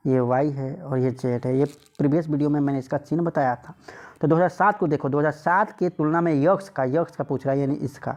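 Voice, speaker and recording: A man's voice: a little high, a little mellow. A man teaching an informal exam lesson, repeating phrases and putting rhetorical questions to students. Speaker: maths exam coach.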